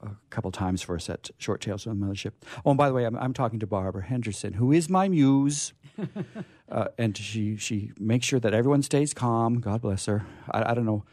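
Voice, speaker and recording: Mellow, chatty male radio host. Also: fairly low pitch, fairly quick, no room sound, clean studio sound. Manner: effusive, jokey, rambling. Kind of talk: chatty, off-the-cuff hosting. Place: radio studio.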